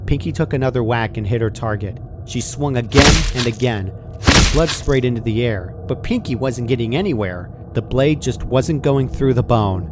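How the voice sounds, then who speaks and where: Man narrating a story over clear noise. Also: medium pitch, moderate pace. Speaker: fan fiction narrator. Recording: clearly noisy home recording.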